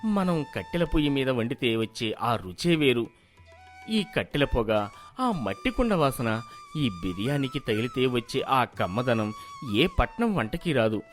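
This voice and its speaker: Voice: medium pitch, very animated, a little slow, faint music behind, voice pushed down. A performer voicing an earnest, proud, poor village husband who cooks, speaking eagerly in a cartoon moral story.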